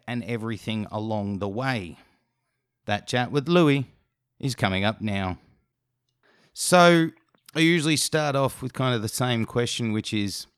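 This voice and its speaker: A man's voice: lowish voice, clean tone, no room sound, studio quality. A man hosting a music podcast, giving upbeat plugs and interviewing a guest with enthusiasm. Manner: upbeat promo delivery, enthusiastic. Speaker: podcast host.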